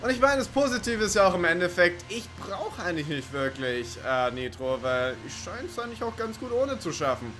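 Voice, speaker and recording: A man's voice: high-pitched, very animated, a little fast, few pauses, over music. A man giving casual, rambling, sweary let's play commentary over racing game sounds. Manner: rambling, sweary, joking to himself. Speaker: gaming video commentator.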